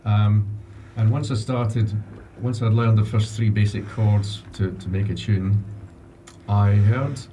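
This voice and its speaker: A male radio guest with a low, very mellow, dark voice. He talks in a hesitant, modest way and laughs easily. He sits a little back from the mic, and a faint hiss hangs behind him. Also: steady tone, slow pace. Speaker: radio guest and upcoming presenter. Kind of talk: casual radio interview. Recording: distant mic with faint background noise.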